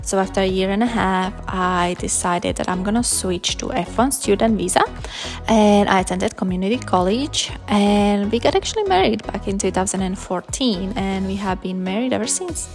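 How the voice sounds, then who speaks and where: A woman's voice: mid-range voice, moderate pace, soft background music. A woman in her thirties from Slovakia, chatting casually while doing her makeup in a vlog. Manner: relaxed, chatty, talks while applying makeup. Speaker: vlogger, stay-at-home mom. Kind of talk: casual makeup tutorial chat.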